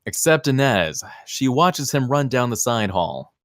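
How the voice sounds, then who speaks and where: A man's voice: medium pitch, very animated, measured pace, no room sound, clean studio sound. A man reading scripted screenplay narration in a theatrical, clipped present-tense style. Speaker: stage-direction reader at a table read.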